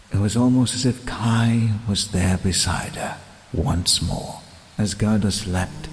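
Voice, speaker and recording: A man acting as the solemn, measured narrator of a fairy-tale audio play. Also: sits low, exaggerated voice. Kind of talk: scripted storybook narration. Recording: long reverb, faint background noise.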